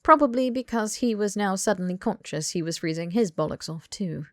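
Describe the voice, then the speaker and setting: A woman reading fiction as an audiobook narrator in an expressive, scripted storytelling style. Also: medium pitch, a little fast, no echo, clean studio sound.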